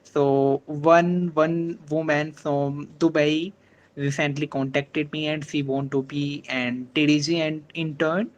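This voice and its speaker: Casting note: man on a video call whose first language is Hindi, halting and deferential, slow delivery. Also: a little high, flat delivery, low-quality recording. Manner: hesitant, many fillers, polite. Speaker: meeting organizer. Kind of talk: online meeting discussion.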